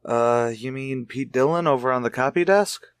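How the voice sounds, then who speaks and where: Guy acting wry easygoing husband detective type, radio mystery, average pitch. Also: moderate pace, clear, resonant tone, dry recording, clean studio sound, natural voice. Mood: drowsy, teasing. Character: easygoing, wry married amateur sleuth. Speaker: actor.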